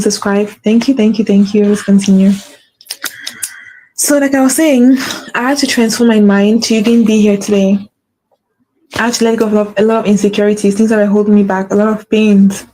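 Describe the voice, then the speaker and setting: A woman's voice: mid-range voice, mellow, a little hiss or hum, rough recording. A woman with a stutter hosting a faith-based motivational talk, repeating her key phrases for emphasis. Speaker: podcast host.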